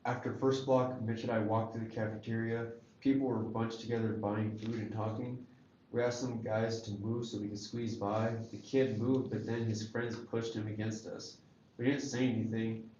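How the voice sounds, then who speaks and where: A man's voice: lowish voice, flat delivery, normal speed, dark tone, natural voice. A man acting a wary, wounded teenage goth boy in a screenplay table read, subdued and guarded. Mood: hurt, subdued. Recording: echoey room, dull lo-fi, faint noise.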